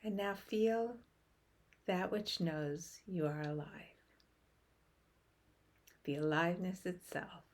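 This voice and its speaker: A woman leading a guided meditation in a soft, hushed voice that trails toward a whisper, with long pauses between short phrases. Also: on the low side.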